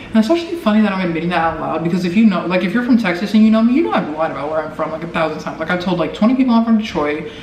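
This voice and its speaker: Echoey, higher-pitched young American man. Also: expressive, very fast, darkish tone, lo-fi sound. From Omaha, Nebraska, United States. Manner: informal, rambling, heavy use of "like". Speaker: vlogger. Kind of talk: casual chatty Q&A to camera. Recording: echoey noisy room, distant mic.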